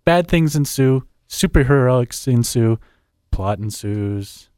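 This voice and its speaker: Critic guest: a man of middle pitch, wry and deadpan, quick asides, bone-dry studio mic. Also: smooth, no echo. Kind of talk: casual chat, plot summary.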